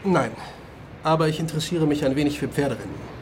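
An actor playing a bereaved son, polite and fussily precise, in a German radio crime drama. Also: male voice, on the high side, quick pace, a little hiss or hum, lightly acted. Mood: restrained. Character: bereaved son, mild and precise, gently correcting.

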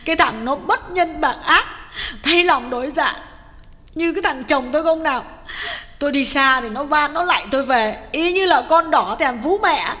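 A man's voice: very high-pitched, moderate pace, sharp tone, long reverb tail, voice pushed up. A man voicing a middle-aged village wife who wails and curses at her husband in a theatrical lament. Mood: wailing, scolding. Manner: wailing, cursing, theatrical lament.

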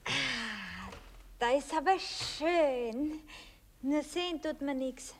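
High-voiced coy young Viennese girl. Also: animated, slow, a little hiss or hum, heavily acted. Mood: coy, playful. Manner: coy, colloquial dialect speech. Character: coy young Viennese working-class girl, naive yet cheeky. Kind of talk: 1960s German radio drama acting.

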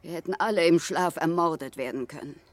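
An actress playing a gaunt, sickly, bitter spinster in a radio drama, severe and foreboding in manner. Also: female voice, low voice, brisk, no room sound, close to an everyday voice. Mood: reproachful.

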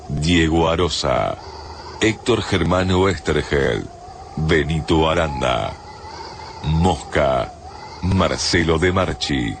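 A man reads a scripted radio drama narration in a measured, solemn announcer delivery, over music. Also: very deep voice, background noise.

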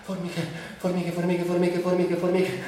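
A man's voice: sits high, monotone, moderate pace, mellow, natural voice. An actor playing a tormented, delirious male addict in a histrionic theatrical radio monologue that swings between brooding and cries. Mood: obsessive, agitated. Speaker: actor and director. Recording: very echoey, distant, faint music behind.

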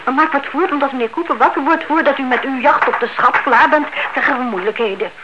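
Woman as sensible, exasperated younger woman, radio drama, high voice, brisk and mocking, very noisy old recording. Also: highs cut off, close to an everyday voice. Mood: skeptical, sarcastic. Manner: impatient, mocking, brisk and bossy.

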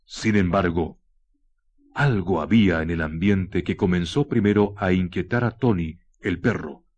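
A man narrating a scripted radio horror drama in a deliberate, suspenseful manner with weighted pauses. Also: sits low, darkish tone, lo-fi sound. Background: faint music.

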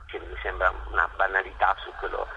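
A man's voice: sits low, completely flat delivery, fairly quick, gravelly, pushed and bright, muffled recording, a little hiss or hum. A middle-aged man, talking conversationally in an interview and telling stories with rhetorical questions and acted-out quotes. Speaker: interviewed theatre actor and director.

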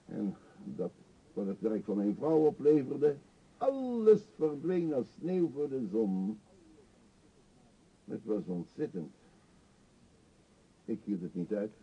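An actor in a radio play voicing a middle-aged male vagrant, self-pitying and confessional, with a husky, halting, theatrical delivery. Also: fairly low pitch, sing-song, heavily acted. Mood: aggrieved, dramatic. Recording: dull lo-fi radio play recording.

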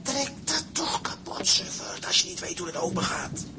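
An actor playing a gruff, commanding seasoned seaman in a radio drama, barking impatient, practical orders. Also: sits high, big pitch swings, normal speed, heavily acted. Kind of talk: Dutch audio drama acting. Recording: loud sea and boat noise.

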